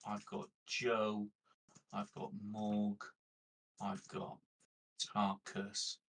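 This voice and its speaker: A man's voice: lowish voice, monotone, extremely slow, fairly bright tone. A man chatting casually as a player at a tabletop game, jokey and sweary, recorded at a distance from the mic.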